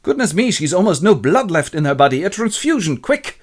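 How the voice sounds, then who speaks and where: A man's voice: high-pitched, sing-song, fairly quick, pitched above the performer's own voice. A man voicing an alarmed male professor and vampire hunter, exclaiming urgently in a comic retelling.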